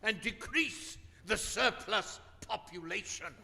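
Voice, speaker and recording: Radio play miser, old and grumbling: high-pitched, animated, curt and contemptuous. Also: male voice, takes it slowly, gravelly, background noise, heavily acted. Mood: cold contempt. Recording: echoey hall stage recording.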